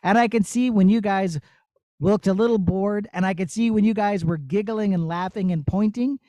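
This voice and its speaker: Male puppeteer, soft mellow tone, dry recording, encouraging and explanatory with children. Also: sits high, moderate pace, no room sound. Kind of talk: answering children's questions.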